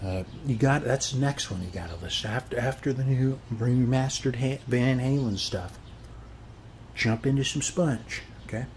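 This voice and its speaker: A man's voice: medium pitch, moderate pace, a little mellow, slight background noise. An American man performing an ASMR roleplay as a chatty, boastful suburban neighbor, rambling in a folksy way and often checking in with the listener.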